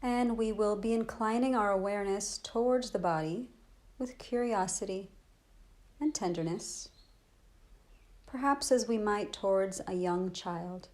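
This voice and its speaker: A woman leads a guided meditation as a teacher would, with a soothing delivery at a medium pitch. She speaks gently and takes her time, leaving long pauses between short instructions. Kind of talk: guided body scan meditation.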